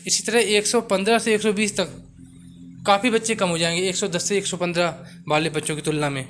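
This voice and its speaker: A man's voice: high voice, fairly even intonation, brisk, a bit bright, slight background noise. A young man giving an informal explainer to viewers, mixing in English words and repeating his assurances. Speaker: exam coaching YouTuber.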